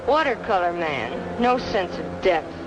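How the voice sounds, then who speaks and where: A man's voice: high voice, sing-song. An actor playing a fussy, dismissive eccentric art connoisseur in a radio drama, drawing out his opinionated words. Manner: drawn-out, opinionated. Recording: muffled old radio, loud music behind.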